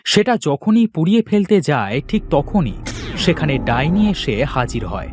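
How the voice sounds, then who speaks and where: Animated male storyteller, fairly high voice. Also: measured pace, breathy edge, no room sound, faint music behind. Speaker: storyteller, narrator. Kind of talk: scripted fairy-tale narration for a cartoon.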